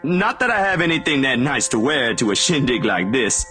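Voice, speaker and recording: A man's voice: mid-range voice, very animated, moderate pace, pushed and bright. An actor playing a kind, slightly awkward young guy in a visual novel, informal and slangy. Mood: awkward, easygoing. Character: Zachary, awkward, kind, laid-back casual young guy. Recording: music playing underneath. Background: game music.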